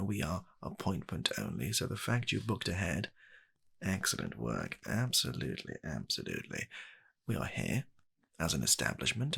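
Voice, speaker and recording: A British man softly playing a polite, fussy gentleman matchmaker in a comedic ASMR roleplay, hesitating and repeating his reassurances. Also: rumbling low, exaggerated voice. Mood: courteous, welcoming. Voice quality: gravelly, soft-spoken.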